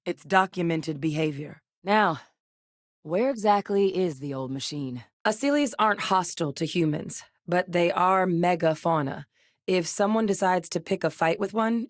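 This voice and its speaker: An actress voicing a steady, earnest woman officer and researcher in a video game, speaking in a measured, level-headed way. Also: low-pitched, no room sound, clean studio sound, close to an everyday voice. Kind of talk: game voice lines. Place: studio booth.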